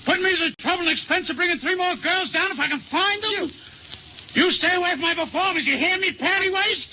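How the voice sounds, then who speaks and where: Boastful circus tamer, high-pitched, hot temper, blustering, noisy old radio drama. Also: male voice, fast, bright, forceful tone, lo-fi sound, full character voice. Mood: furious. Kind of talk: 1940s radio acting.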